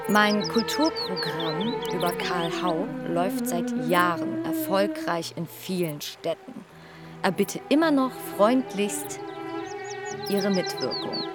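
An actress playing a formal, articulate female narrator who reads out period letters and newspaper reports in a radio play. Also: mid-range voice. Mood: courteous, formal. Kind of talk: scripted radio play reading of documents.

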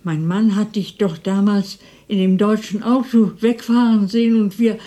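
A woman's voice: medium pitch, fairly even intonation, normal speed, dark tone, lightly acted. An actress playing a lonely, motherly elderly neighbour in radio drama dialogue, with a fussing, hospitable manner. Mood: subdued, reminiscing. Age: older.

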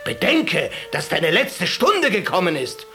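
A man voicing an imperious, furious Caliph in a radio-play fable, loud, declamatory and menacing. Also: high voice, wildly swooping pitch, a little slow, faint music behind, voice pushed up, heavily acted. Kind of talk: storytelling within a radio play.

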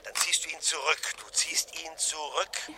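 A man's voice: on the high side, steady tone, measured pace, lightly acted. An actor playing an anxious, commanding husband who repeats clipped instructions to his terrified wife in a crime radio drama. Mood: controlled, instructing. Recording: old radio play, faint noise.

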